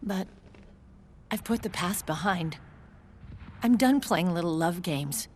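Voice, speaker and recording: Composed low-voiced military woman. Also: expressive, slow pace, darkish tone, a little hiss or hum. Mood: restrained hurt. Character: composed military unit commander, grown woman, wounded pride. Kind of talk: dramatic video game cutscene acting, expository. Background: music behind the voice.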